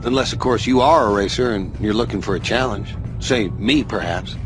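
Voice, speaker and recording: An actor playing a sly, smug outlaw racer in a video game, goading with mocking theatrical pauses. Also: male voice, on the low side, close to an everyday voice. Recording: music behind the dialogue.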